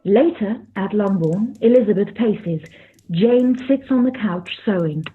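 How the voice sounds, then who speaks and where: A woman's voice: mid-range voice, unhurried, soft background music, natural voice. A woman narrating scripted stage directions for a radio play. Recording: thin phone-like sound.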